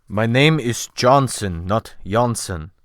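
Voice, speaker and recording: A timid, honest Scandinavian seaman, as a man acts him out in an audiobook. He speaks slowly and methodically, picking through his words with a slight accent. The sound is clear and dry. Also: mid-range voice, expressive, no echo. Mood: mild, methodical. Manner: slow, methodical, groping for words. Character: timid, frank, slow-spoken Scandinavian sailor. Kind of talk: dramatic audiobook character voice.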